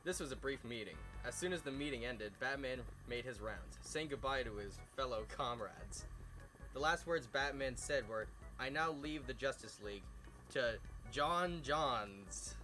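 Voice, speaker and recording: A man's voice: medium pitch, lively intonation, unhurried, bright, forceful tone, poor audio. Man reading aloud over music. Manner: stumbles over words while reading. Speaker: host reading fan fiction aloud. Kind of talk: reading a story aloud with joking asides. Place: outdoors by a fireside at night.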